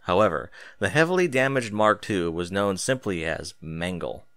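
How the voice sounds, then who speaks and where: A man narrating a scripted dramatic story as its narrator, with theatrical, deliberate emphasis. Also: sits low, lively intonation, a bit bright, clear, resonant tone, no echo, clean studio sound.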